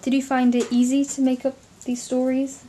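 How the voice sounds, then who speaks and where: A woman's voice: high voice, monotone, normal speed, dark tone, a little hiss or hum. A young woman interviewing a relative about bedtime stories, encouraging and laughing often. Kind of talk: casual family interview.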